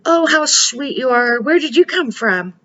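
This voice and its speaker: A woman's voice: high voice, expressive, measured pace, fairly bright tone, muffled recording, voice pushed up, heavily acted. A woman voicing a sweet, caring young girl bear cub in a storybook. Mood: curious.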